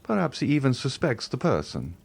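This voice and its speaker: A man voicing a grave, protective middle-aged nobleman who gives solemn, measured warnings. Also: mid-range voice, huge pitch swings, creaky, breathy edge, pitched above the performer's own voice, full character voice.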